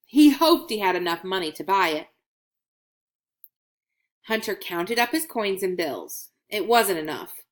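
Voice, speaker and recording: A woman's voice: medium pitch, big pitch swings, a little slow, pauses often. A woman narrating a children's storybook as a read-aloud. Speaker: storybook reader.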